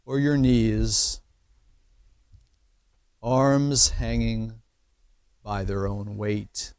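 A man leading a guided meditation as a teacher, speaking in short, measured phrases with pauses between them. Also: mid-range voice, gravelly, darkish tone, no room sound. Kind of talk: slow guided imagery meditation narration.

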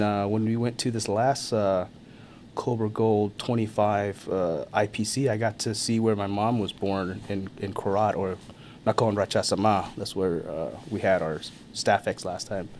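A man, an army NCO born in Thailand and raised in America, talking, relaxed, chuckling often and filling gaps with "uh" and "um". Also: fairly low pitch, a little hiss or hum. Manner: easygoing, chuckles often, many fillers and restarts. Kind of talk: casual interview talk.

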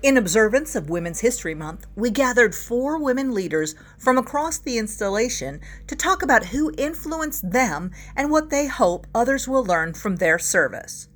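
A woman host reading a scripted podcast introduction in a bright, lilting way. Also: fairly high pitch, sing-song, moderate pace, hardly any pauses.

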